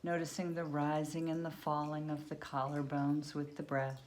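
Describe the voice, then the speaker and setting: A woman leading a guided yoga nidra meditation, speaking unhurriedly in short phrases with long pauses between them. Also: low-pitched, flat delivery, darkish tone, a little hiss or hum.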